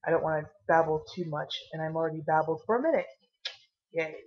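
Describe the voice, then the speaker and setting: A tired, caffeinated woman vlogging casually with a low voice, rambling and swearing now and then. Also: fast talker, dull audio.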